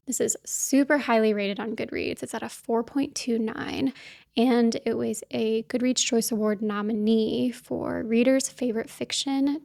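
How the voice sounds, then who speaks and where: A woman from talks straight to her viewers in a casual book vlog. Her voice sits a touch on the high side, and her manner is chatty and upbeat, full of little asides. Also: gravelly. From Kansas City, US. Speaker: book vlogger. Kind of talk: casual chat to camera.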